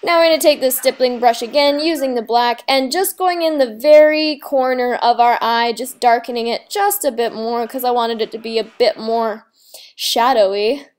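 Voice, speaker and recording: High-voiced young woman, slightly sick, casual. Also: normal speed, clean tone. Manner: breezy, slangy, informal. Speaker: makeup tutorial host. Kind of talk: casual how-to tutorial narration.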